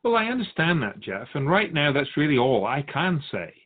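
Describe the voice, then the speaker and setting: A man speaking as a spiritual guide, answering in a measured, assured, didactic way in philosophical conversation. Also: fairly high pitch, sing-song, narrow, tinny audio.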